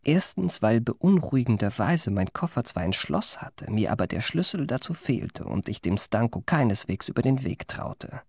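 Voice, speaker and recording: A man's voice: medium pitch, big pitch swings, moderate pace, hardly any pauses, darkish tone, breathy edge, no room sound. Eloquent, self-satisfied male narrator, dry sound. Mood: precise. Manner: ornate, precise, self-satisfied. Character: eloquent, vain male memoirist recalling his youth. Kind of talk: scripted literary narration in a radio play.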